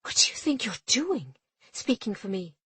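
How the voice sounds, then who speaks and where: A woman voicing a proud, hot-tempered young woman ship captain, sharp and defiant. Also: medium pitch, normal speed, dry recording, lightly acted. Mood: angry, defiant.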